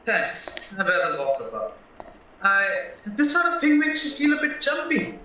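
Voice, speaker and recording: A man's voice: high voice, wildly swooping pitch, measured pace, bright, forceful tone, a little hiss or hum, heavily acted. A man acting a nervous, naive young drifter in a radio play, chatty, polite and hesitant. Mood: anxious. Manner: anxious, polite, hesitant, rambling. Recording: roomy, distant, muffled, music underneath.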